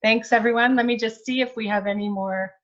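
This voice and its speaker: A woman's voice: a little high, steady tone, brisk, a bit bright, muffled recording. A woman hosting a live virtual product launch over a video call, upbeat and full of praise for her guest. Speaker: host.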